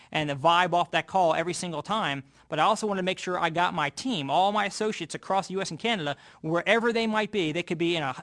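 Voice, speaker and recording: Fast, high-pitched man giving sales coaching. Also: fairly bright tone. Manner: emphatic, repeats key phrases. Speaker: sales team leader and trainer. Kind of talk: motivational sales coaching talk.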